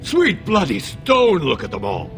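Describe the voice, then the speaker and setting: An actor playing a brash, cowardly dwarf thug in a fantasy video game, rough, blustering and gravelly. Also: male voice, fairly high pitch, wildly swooping pitch, measured pace, heavily acted. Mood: panicked. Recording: game music behind the voice.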